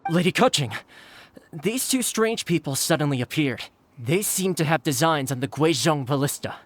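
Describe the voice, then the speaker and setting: A man's voice: fairly high pitch, lively intonation, moderate pace. A man voicing a stern, dutiful, suspicious soldier guard in a video game, speaking formally. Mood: reporting. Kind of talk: video game cutscene voice acting.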